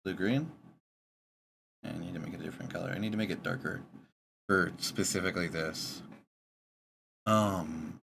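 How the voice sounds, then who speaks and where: A man's voice: low voice, moderate pace, long pauses. A man livestreaming in a casual chat, mumbling and thinking aloud to himself as he works. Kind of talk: casual chat while drawing on stream. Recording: clear background noise.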